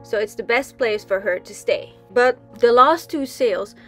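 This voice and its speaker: A woman's voice: on the high side, unhurried, a bit bright, soft background music. A young woman from the Netherlands giving casual voice-over narration for a sailing vlog, chatty, with frequent ums and restarts. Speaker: sailing vlogger.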